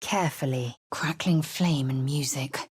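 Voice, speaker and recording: An actress playing a fierce, imperious alien warrior woman in a video game, husky, clipped, commanding and disdainful. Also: low voice, unhurried, dry recording, studio quality. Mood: grave, recounting. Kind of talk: video game character voice lines.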